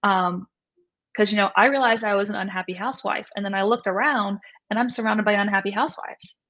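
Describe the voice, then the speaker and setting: Female interview guest, mid-pitched, candid and explanatory, conversational delivery, slightly muffled sound. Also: a little fast, fairly bright tone. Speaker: author and coach, interview guest. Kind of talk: casual interview talk.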